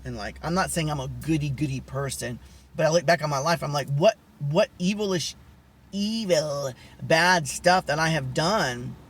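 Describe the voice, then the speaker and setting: A young man with a high voice, telling personal stories in a rambling, candid way, often losing his thread. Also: moderate pace, a bit bright. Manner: rambling, candid, lots of restarts and asides. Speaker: vlogger. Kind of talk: casual storytelling to camera.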